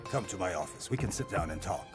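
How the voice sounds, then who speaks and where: Actor as a calm hospital director, loud music. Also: male voice, medium pitch, moderate pace, natural voice. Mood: calm, placating. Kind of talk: dubbed TV medical drama acting. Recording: loud music under the dialogue.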